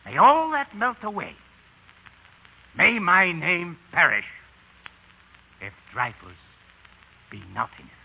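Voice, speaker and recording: Impassioned defiant writer in court, slow solemn speech, big swings, tinny old radio drama. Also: male voice, sits high, huge pitch swings, vocal fry, husky, exaggerated voice. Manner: declamatory, solemn, deliberate. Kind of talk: 1930s radio acting. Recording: tinny narrow-band 1930s radio recording.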